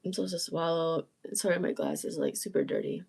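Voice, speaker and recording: A woman with a gravelly, husky, croaky voice, strained after illness, chatting casually on a live stream and often clearing her throat. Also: fairly low pitch, steady tone, fairly quick, darkish tone. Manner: rambling, self-interrupting, frequent throat clearing. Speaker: musician and channel host.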